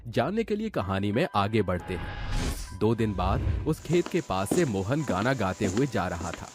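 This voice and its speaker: A man narrates a scripted tale in a lowish voice. His delivery swings in pitch and is lively, with loud music behind him. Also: a little slow.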